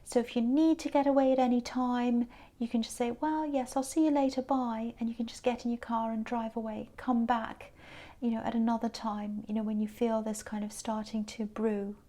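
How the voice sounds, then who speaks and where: A tired woman, giving a gentle, reassuring tarot reading that sometimes drops to a whisper. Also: a little high, level tone, moderate pace. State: tired, croaky voice. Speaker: tarot reader.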